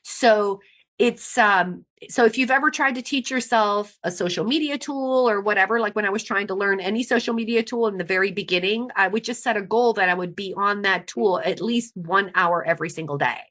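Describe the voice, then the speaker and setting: Bright-voiced woman, frank and emphatic. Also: mid-range voice, brisk. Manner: emphatic and frank, repeats points for stress. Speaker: podcast guest. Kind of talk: casual interview talk.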